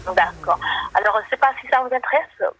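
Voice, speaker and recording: A woman working as a telemarketer, giving a polite, explanatory sales pitch on a phone call. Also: expressive, fairly quick, a bit bright. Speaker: telemarketer selling solar panels. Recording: phone call over faint music.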